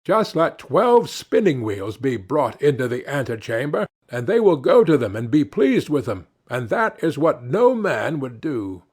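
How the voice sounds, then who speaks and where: Talking lion adviser read by a man: shrewd, measured, insistent, fairy-tale storytelling. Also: mid-range voice, sing-song, darkish tone, slightly rough.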